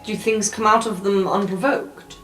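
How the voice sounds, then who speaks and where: A woman's voice: mid-range voice, steady tone, normal speed, a bit bright. A woman playing a tabletop roleplaying game in a casual, improvised way. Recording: roomy sound, faint music behind.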